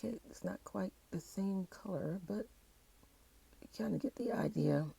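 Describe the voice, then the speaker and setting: A woman with a cold, her voice hoarse and froggy, casually talking herself through decorating a planner page, with plenty of okays and uhs as she thinks aloud. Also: medium pitch, moderate pace, mellow. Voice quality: raspy, froggy voice. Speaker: digital planner video creator. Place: indoors at a tabletop, hands working an overhead-filmed tablet.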